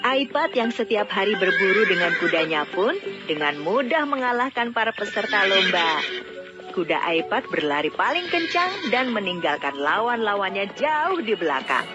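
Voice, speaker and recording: A woman's voice: medium pitch, very animated, normal speed. A woman narrating a scripted fairy tale as a storyteller. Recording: dull lo-fi audio, music bed. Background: background music.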